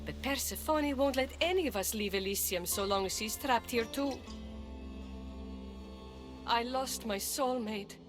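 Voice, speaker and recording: An actress voices a solemn, heartbroken woman in a game drama. Her voice is fairly high and very slow, formal in delivery, with music clearly behind it. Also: close to an everyday voice. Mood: sorrowful, resolute. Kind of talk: dramatic video game acting.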